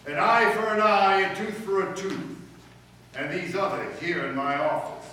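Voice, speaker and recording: An echoey, distant recording of a man acting as a pompous middle-aged school principal from radio comedy. His voice is fairly high and forceful, and he speaks in formal, commanding phrases. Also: expressive, normal speed. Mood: self-important. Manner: pompous, authoritarian, formal diction. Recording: echoey room from far off, faint noise.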